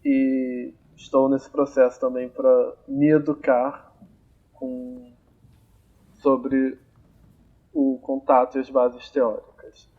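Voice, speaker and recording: A young man hosts a casual podcast at an easy, unhurried pace, his voice at a middling pitch. He thinks aloud as he talks, with frequent fillers and restarts. The home recording sounds slightly dull and lo-fi. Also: husky. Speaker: podcast host. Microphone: amateur home recording, phone or computer.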